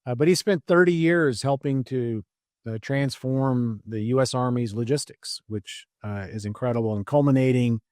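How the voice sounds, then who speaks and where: A man's voice: medium pitch, normal speed, darkish tone, no room sound, studio quality. A man in his fifties hosting a podcast, introducing his guest in a friendly, filler-heavy way. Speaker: podcast host. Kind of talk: casual interview introduction.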